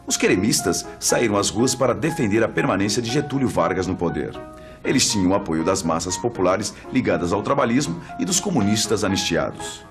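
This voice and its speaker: A man with a Brazilian accent presents a scripted educational explanation in a didactic way, posing rhetorical questions. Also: lowish voice. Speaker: presenter of an educational history program.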